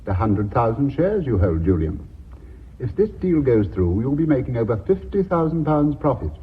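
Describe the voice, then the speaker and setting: An actor with a British accent playing a stubborn, dryly cutting senior company director in a radio drama. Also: male voice, medium pitch, sing-song, normal speed, slight background noise, lightly acted. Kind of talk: BBC radio drama acting. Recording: muffled, phone-like radio recording.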